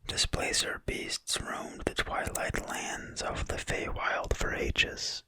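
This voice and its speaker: Breathy, slow ASMR whisperer. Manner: slow, soft, unhurried, deliberate. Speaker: ASMR video creator and reader. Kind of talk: whispered ASMR reading of a fantasy game book.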